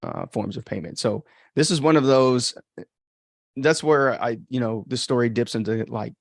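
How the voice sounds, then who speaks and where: A man's voice: medium pitch, expressive, moderate pace, creaky, dry recording. Casual man commenting, very dry close sound. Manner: informal, rambling, mild swearing, frequent "like" and "right?". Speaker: podcast host and author commenting on his own story.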